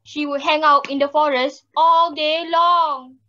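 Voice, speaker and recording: A woman's voice: sits high, fairly even intonation, unhurried, slight background noise. A teenage girl with a Singaporean accent playing an aggrieved fairy-tale grandmother who complains theatrically. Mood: accusing. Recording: muffled video-call audio.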